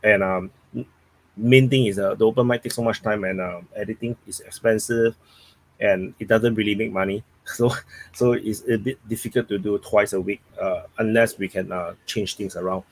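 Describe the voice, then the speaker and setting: A man's voice: lowish voice, fairly even intonation, moderate pace, rough recording. A man with a Singaporean accent hosting a live stream, rambling and thinking aloud while he reads viewers' chat.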